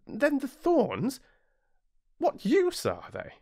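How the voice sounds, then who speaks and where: A British man voicing the little prince, a wistful, curious small boy in a storybook, speaking in an earnest, gentle, questioning way. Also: extremely high pitch, huge pitch swings, extremely slow, gravelly, no room sound, studio quality, pitched above the performer's own voice, full character voice. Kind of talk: storybook character voice.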